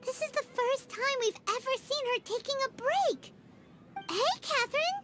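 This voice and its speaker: A tiny floating female game companion with an extremely high, squeaky voice that bounces all over. She is chatty and excitable and refers to herself in the third person, and loud game music plays behind her. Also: moderate pace. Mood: chatty, curious. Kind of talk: game cutscene voice acting.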